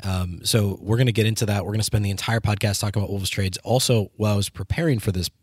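A man with flu, sounding hoarse, hosting a solo sports podcast and talking through his analysis with lots of ums and restarts. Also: lowish voice, steady tone, no room sound, studio quality. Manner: fast rambling analysis with many ums and restarts. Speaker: podcast host.

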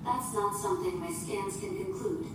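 Female ship computer, very formal and literal, swoopy pitch, big echo, sci-fi audio drama. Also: big pitch swings, moderate pace. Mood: detached, informative. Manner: formal, procedural, emotionless. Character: polite, literal female shipboard artificial intelligence. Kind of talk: science fiction audio drama acting. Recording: roomy echo, distant, heavy noisy ambience.

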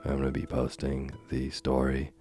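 Hoarse guy reading bedtime stories, super deep monotone, gentle and slow. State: losing his voice. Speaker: sleep podcast host and reader. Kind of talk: soft bedtime story narration. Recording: faint music behind.

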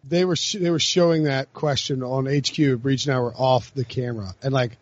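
A man's voice: mid-range voice, fairly even intonation, brisk, dry recording. A man hosting a casual sports talk podcast, rambling with lots of filler words. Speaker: podcast host. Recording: dry lo-fi podcast mic.

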